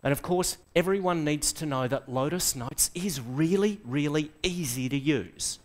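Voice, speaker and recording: Upbeat Australian man presenting in a lecture hall. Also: fairly high pitch. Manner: enthusiastic, quizzes the audience, quick asides. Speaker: conference presenter. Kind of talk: lively tech presentation to a live audience. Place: lecture hall with seated audience.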